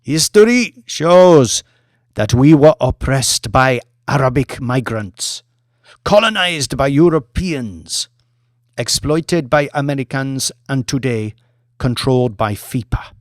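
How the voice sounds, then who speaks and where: A man voicing a proud female African stage performer in an audiobook, giving grand, emphatic and deliberate public oratory. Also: mid-range voice, expressive, dry recording, studio quality. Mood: defiant.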